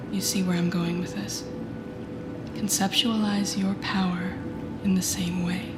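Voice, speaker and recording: Woman acting telepathic villainess, slow delivery, soft and breathy, teasing mentor, intimate audio roleplay, echoey space, clear music behind. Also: on the low side, close to an everyday voice. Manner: slow, soothing, guiding, teasing. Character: teasing, confident female telepathic villain coaching a rookie hero. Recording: echoey indoor pool sound, music behind.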